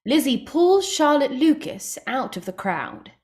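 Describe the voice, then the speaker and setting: A woman's voice: high-pitched, very animated, unhurried, darkish tone. A woman reading stage directions as scripted dramatic narration.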